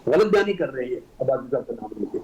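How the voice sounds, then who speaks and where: A man's voice: medium pitch, very animated, quick pace, a little mellow, low-quality recording. A middle-aged man with a Pakistani accent, a politician arguing his party's position in a panel debate. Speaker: senator and party guest.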